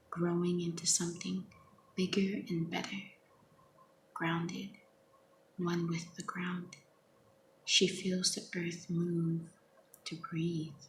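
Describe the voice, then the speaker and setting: A young woman speaking very softly in a half-whispered ASMR style, gently reading poetry in an unhurried, hushed manner. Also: on the low side. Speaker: ASMR host reading their own poems. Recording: echoey, with clear background noise.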